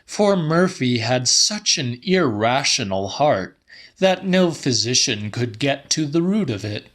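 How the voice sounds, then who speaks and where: A male audiobook reader narrates literary fiction at a slow, measured pace. His voice is bright and forceful, of middling pitch. The delivery is deliberate and slightly dramatic, with carefully set phrases. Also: big pitch swings.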